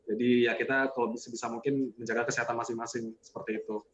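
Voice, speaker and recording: A man's voice: mid-range voice, flat delivery, fast talker, fairly bright tone. Man hosting, dull lo-fi audio. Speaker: webinar host and moderator. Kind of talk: semi-formal online event introduction.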